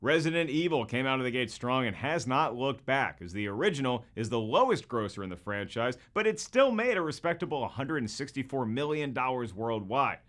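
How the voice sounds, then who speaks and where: American man hosting with very animated pitch. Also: brisk, hardly any pauses. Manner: jokey, emphatic presenter delivery. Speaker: host. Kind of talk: scripted comedic video-essay narration.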